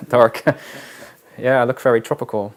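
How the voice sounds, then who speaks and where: A man, a wilderness guide, talking in a casual interview in a halting, reflective way with frequent restarts. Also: medium pitch, steady tone. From Netherlands.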